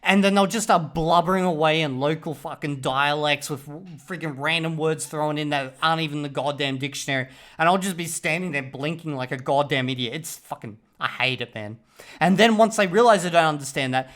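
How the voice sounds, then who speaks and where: Guy reacting to a vid, swears a lot, blunt, laughs at stuff, voice a bit higher. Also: a little fast, fairly bright tone. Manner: profane, blunt, laughing asides. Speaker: video reactor. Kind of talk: casual reaction commentary.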